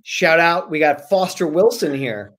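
A man in his forties, an author guest, casually answering questions over a video call from a room at home in an animated, jokey way. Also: on the high side, unhurried.

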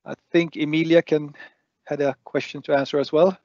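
A man's voice: fairly high pitch, little pitch movement, brisk, darkish tone, dry recording. A man in his thirties whose native tongue is Swedish, speaking for a bank on a webinar through a headset, hesitant with fillers. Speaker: bank representative. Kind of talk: webinar answer. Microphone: headset on video call. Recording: dry, dull headset audio.

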